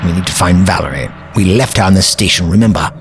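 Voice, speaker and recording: An actor playing an eccentric, self-assured older time traveller in an audio drama, with pompous, precise, formal diction. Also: male voice, low voice, fast talker, music in the background, lightly acted. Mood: brisk.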